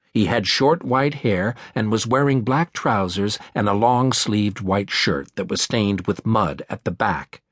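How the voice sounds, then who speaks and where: A man's voice: lowish voice, no echo. A man reading a thriller audiobook as scripted narration, close on a studio microphone. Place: dry studio.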